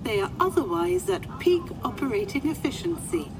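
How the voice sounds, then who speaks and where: High, polite female ship computer. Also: lively intonation, moderate pace. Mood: polite, helpful. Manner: formal, procedural, unflappable. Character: polite, literal-minded female medical ward computer assistant. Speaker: actor in a fiction podcast. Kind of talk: audio drama acting. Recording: clear background ambient noise.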